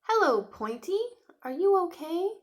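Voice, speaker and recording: A woman's voice: very high-pitched, very animated, measured pace, voice pushed up, exaggerated voice. A woman voicing a small, earnest young male dinosaur in a storybook, speaking in simple, emphatic sentences. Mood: concerned, friendly. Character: small earnest young male T. rex, sad but determined.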